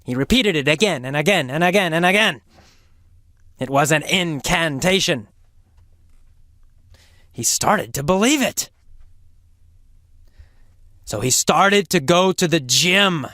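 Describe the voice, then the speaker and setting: An English teacher telling a mini story for learners in a slow, emphatic and theatrical way, often asking the listener questions. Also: medium pitch, lively intonation, bright, forceful tone, no echo, studio quality. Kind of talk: mini story lesson narration.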